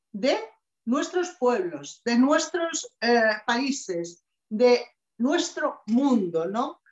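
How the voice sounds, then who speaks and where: A middle-aged woman giving a measured, emphatic inspirational talk on a video call a small office. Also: a little high. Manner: slow, measured and emphatic, with expressive hand gestures. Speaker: conference speaker. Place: small office with shelves, indoors. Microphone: webcam video call. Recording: echoey room, dull lo-fi sound.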